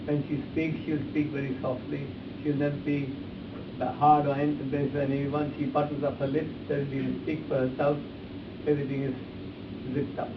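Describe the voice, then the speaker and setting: A man's voice: on the high side, completely flat delivery, moderate pace, reverberant. Man, live workshop demonstration, emphatic repeated phrases, distant from the mic, muffled hissy recording. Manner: emphatic, repetitive phrasing, addressing volunteers directly. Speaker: face reading instructor.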